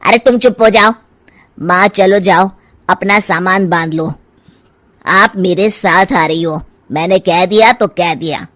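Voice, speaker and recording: A woman's voice: fairly low pitch, unhurried, fairly bright tone, dry recording. A woman voicing an insistent, self-centred grown son in a cartoon moral story.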